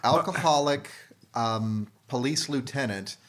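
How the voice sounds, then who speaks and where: Guy with a medium-pitched voice riffing in a group chat, wry, kinda halting. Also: a little hiss or hum. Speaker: podcast panelist. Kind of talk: casual chat, brainstorming.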